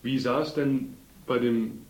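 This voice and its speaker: A man's voice: medium pitch, steady tone, a little slow, a little mellow, echoey room, rough recording. A man conducting an interview, asking brief, probing questions.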